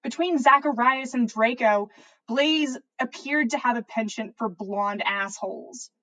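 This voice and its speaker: A woman reading fan fiction aloud as a podcast host, in expressive first-person story narration. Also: high voice, poor audio.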